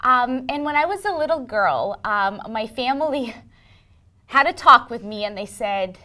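A woman from Ukraine telling her story as an interview guest, in a lively casual manner with frequent ums and small laughs. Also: on the high side, measured pace. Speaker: interview guest, engineering manager.

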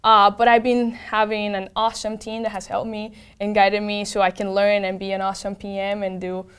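A woman's voice: mid-range voice, level tone, brisk, a bit bright, clear, resonant tone. A woman with a Venezuelan accent, a native Spanish speaker, answers interview questions in an enthusiastic, informal way. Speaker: interview guest, tech program manager.

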